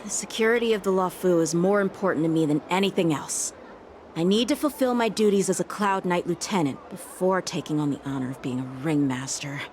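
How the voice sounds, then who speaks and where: A woman's voice: lowish voice, brisk, a little mellow, slight background noise, lightly acted. A woman voicing an earnest, dutiful teenage boy knight lieutenant in a game, speaking formally and conscientiously. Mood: earnest, resolute. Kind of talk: acted game story dialogue.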